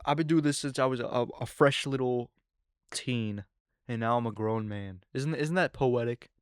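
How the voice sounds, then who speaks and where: An eighteen-year-old man hosting his own podcast, rambling casually with lots of fillers and excited asides. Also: mid-range voice, normal speed, clean tone, no echo, studio quality. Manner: rambling, filler-heavy, excitable teen talk. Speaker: podcast host. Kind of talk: casual solo podcast chat.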